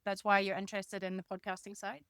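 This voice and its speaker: A woman's voice: medium pitch, completely flat delivery, quick pace, a bit bright, clean tone, no room sound, clean studio sound. A woman hosting an interview podcast, introducing her guest and asking questions.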